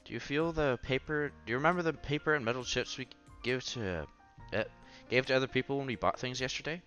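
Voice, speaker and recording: A man's voice: medium pitch, fast talker, a bit bright, muffled recording. A man hosting a casual read-aloud playthrough of a visual novel, reading narration and dialogue with occasional stumbles and side comments. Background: background music.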